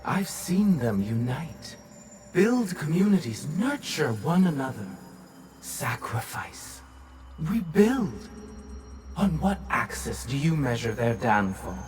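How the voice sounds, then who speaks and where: Slow, solemn male AI guide. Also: mid-range voice, very animated, soft background music. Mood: defiant. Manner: measured, solemn, enigmatic. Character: calm, cryptic male artificial guide, gentle and resolute. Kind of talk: video game cutscene monologue.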